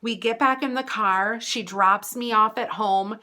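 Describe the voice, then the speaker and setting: An American woman vlogger telling a personal story casually, in a chatty, animated way with dramatic emphasis. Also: a little high, unhurried.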